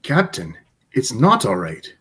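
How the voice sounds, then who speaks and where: A man playing a gentle, attentive ship's counsellor, soothing and probing, in a sci-fi radio theatre read. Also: mid-range voice, wildly swooping pitch, takes it slowly, exaggerated voice. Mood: sympathetic.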